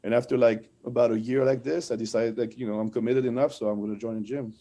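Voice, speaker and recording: A man with a French accent speaks in a mellow, relaxed voice at a medium pitch. He is an interview guest, recounting his experiences in loose, conversational English. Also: quick pace. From France and French-speaking Switzerland. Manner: conversational storytelling with frequent "like". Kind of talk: casual interview chat.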